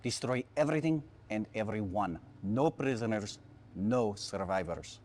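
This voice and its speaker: An actor playing a ruthless middle-aged Soviet general, formal and clipped, in a dramatic game cutscene. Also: male voice, fairly low pitch, expressive, measured pace, a little mellow. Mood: stern. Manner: formal, clipped military delivery. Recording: noisy game cutscene audio.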